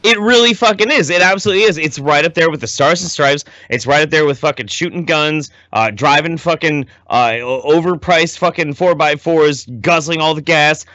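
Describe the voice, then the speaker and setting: A man's voice: a little high, normal speed, hardly any pauses, sharp tone, dry recording, muffled recording. A man co-hosting a podcast, riffing with mocking, profane humor. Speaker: podcast co-host. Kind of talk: casual comedic chat.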